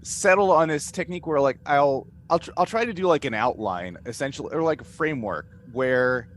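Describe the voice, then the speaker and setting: A man in a casual panel chat as a game master, rambling and halting as he thinks aloud. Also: mid-range voice, lively intonation, fairly bright tone. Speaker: podcast cast member and game master. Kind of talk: casual panel chat answering fan questions. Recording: noticeable background noise.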